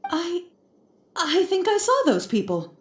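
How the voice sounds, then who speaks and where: A woman's voice: extremely high pitch, wildly swooping pitch, takes it slowly, background noise, heavily acted. An actress playing an earnest, polite young female scholar in a video game, speaking in an apologetic, courteous way. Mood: hesitant, apologetic. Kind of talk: video game character acting. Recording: faint music, roomy sound.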